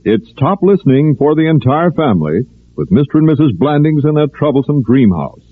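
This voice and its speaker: Old time radio announcer guy, polished, very lively delivery, tinny phone-like audio. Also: fairly high pitch, a little fast. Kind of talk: 1950s radio announcing, scripted. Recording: narrow phone-like vintage radio recording.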